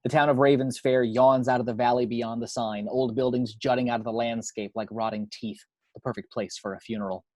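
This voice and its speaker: A man's voice: mid-range voice, steady tone, fairly quick, darkish tone, dry recording. A man reading screenplay action and scene headings as narrator for a table read at home. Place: at home, dry close recording.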